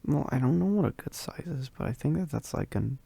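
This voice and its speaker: A performer voicing a shy, needy, affectionate young werewolf roommate in a roleplay ASMR, with soft, breathy, halting, giggly speech that often drops to whispers. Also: mid-range voice, expressive, no echo. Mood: flustered. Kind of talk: roleplay ASMR, intimate one-sided dialogue.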